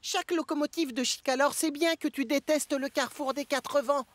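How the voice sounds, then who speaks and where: Woman as a high-voiced friendly cartoon vehicle. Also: moderate pace, no room sound, lightly acted. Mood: reassuring. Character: cheerful, friendly, reassuring talking vehicle. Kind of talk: French cartoon dub acting.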